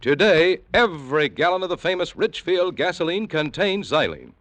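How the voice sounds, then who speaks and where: A man's voice: on the high side, sing-song, moderate pace, fairly bright tone, no echo. An American man announcing a 1950s radio commercial with polished, emphatic salesmanship. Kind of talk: 1950s radio advertising pitch. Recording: dull, dry vintage radio recording.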